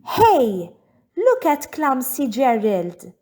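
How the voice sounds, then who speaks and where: Excitable storybook jungle animals, all done by a woman: outspoken, emphatic, exclamatory, very animated pitch. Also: extremely slow, full character voice. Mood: sneering.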